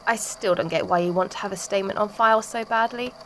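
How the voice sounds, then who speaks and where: Lady playing sarcastic unimpressed friend, mid pitch, blunt n casual, audio drama, bit of background noise. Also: fast talker, a bit bright, natural voice. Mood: skeptical, exasperated. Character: sardonic, skeptical, exasperated female friend. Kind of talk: amateur audio drama dialogue.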